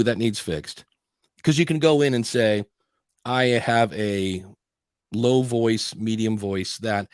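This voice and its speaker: A man's voice: mid-range voice, dry recording, clean studio sound. A man hosting a podcast, chatting casually about audio gear and often restarting sentences or quoting himself. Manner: rambling, restarts and self-quotes. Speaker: podcast host. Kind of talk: casual tech chat. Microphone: studio dynamic mic.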